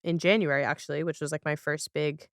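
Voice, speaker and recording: Woman podcast host just chatting, smooth clear voice, really dry recording. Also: low voice, steady tone, quick pace, no room sound. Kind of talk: casual interview chat.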